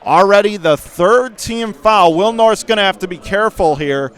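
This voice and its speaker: A man calling high school basketball play-by-play for radio, rapid and rising to shouts on big plays. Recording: radio broadcast call, ball bounces behind.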